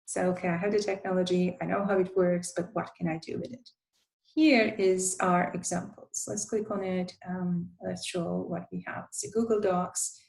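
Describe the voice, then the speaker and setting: Halting gravelly-voiced woman presenter. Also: lowish voice, level tone, darkish tone, rough recording. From Turkey. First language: Turkish. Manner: halting, many fillers and restarts. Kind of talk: conference presentation.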